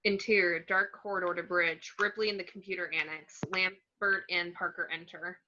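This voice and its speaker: A woman's voice: lowish voice, fairly even intonation, normal speed, bright, forceful tone, slight background noise. A woman narrating stage directions from a screenplay in short clipped phrases, on a lo-fi recording. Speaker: narrator reading action descriptions.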